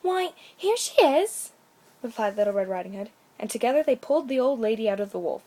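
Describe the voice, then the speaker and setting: A woman's voice: mid-range voice, racing, dark tone. A woman storyteller reading a children's bedtime story aloud with expression.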